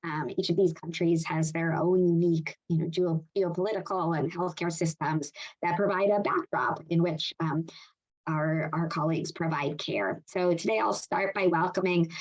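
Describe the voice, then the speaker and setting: A woman moderating a medical webinar over a video call, measured and with frequent fillers. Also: lowish voice, steady tone, lo-fi sound.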